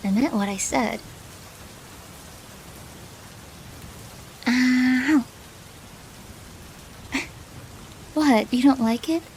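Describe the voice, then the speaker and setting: A woman playing a doting, possessive yandere girlfriend in an intimate ASMR roleplay, teasing softly and often dropping to a whisper. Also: high voice, slow pace, a little hiss or hum. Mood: affectionate, smug. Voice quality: soft, breathy with whispered phrases.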